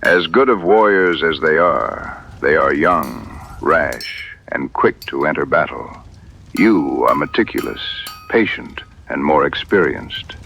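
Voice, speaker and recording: A solemn, proud hunter-warrior, voiced by a man for an audio drama. The voice is extremely low and creaky yet carries a forward edge. Delivery is formal and deliberate, over faint noise. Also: animated, fairly bright tone, full character voice. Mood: solemn, earnest. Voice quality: gravelly.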